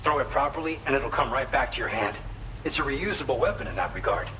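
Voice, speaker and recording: A man's voice: mid-range voice, lively intonation, quick pace, bright, forceful tone, distant mic, slight background noise, poor audio. A man playing an earnest, friendly researcher in a video game, explaining things in a chatty way. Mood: informative. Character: earnest male researcher at a remote observatory, friendly.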